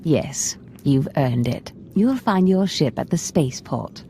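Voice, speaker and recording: A commanding dark sorceress mentor from a game, very low and mellow in voice. She is composed and measured, scheming with calm authority. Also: a little hiss or hum. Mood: pleased, commanding. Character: commanding, scheming female Sith lord mentor. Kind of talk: video game character acting.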